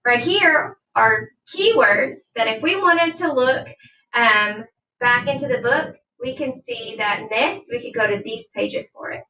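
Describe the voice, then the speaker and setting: High, bright woman reading to children, roomy sound. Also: slight background noise. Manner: deliberate, instructive, asks listeners questions. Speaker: teacher reading aloud to children. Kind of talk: children's nonfiction read-aloud with teaching asides. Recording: dull, roomy sound, distant from mic.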